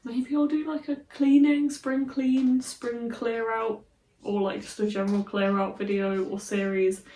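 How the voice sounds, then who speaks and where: A woman's voice: a little high, flat delivery, a little slow, warm tone, distant mic. A chatty woman rambling happily through a casual vlog, slipping in little giggles. Her voice is a bit stuffy from a cold. She sits back from the mic in a bare, echoey room. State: slightly congested from a cold. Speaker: book vlogger.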